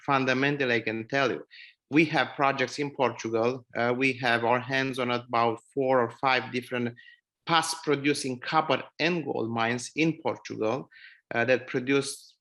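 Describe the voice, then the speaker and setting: A man's voice: medium pitch, pushed and bright. A man, a mining company CEO speaking earnestly on an online panel, with many fillers and restarts. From Romania.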